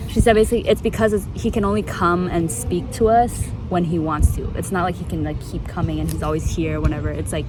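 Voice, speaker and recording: A young woman with a slightly low voice talks in a casual, rambling vlog style. She sounds giggly and informal, retelling things as if to a friend. There is clear ambient noise behind her. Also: steady tone, fast, hardly any pauses. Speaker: vlogger.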